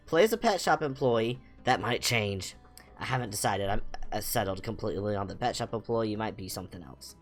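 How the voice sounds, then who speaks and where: A man's voice: fairly low pitch, lively intonation, a little fast, faint music behind. A young man chatting casually as a livestream host, rambling informally with a self-deprecating manner. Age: young adult. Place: small home room, indoors.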